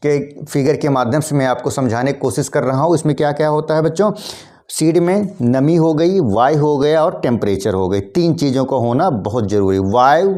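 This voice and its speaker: Casting note: male teacher, hoarse a cold, mellow dark tone, repetitive classroom explaining. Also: medium pitch. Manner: repetitive, addresses students as kids, frequent throat clearing. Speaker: biology teacher. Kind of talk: classroom lesson addressing students.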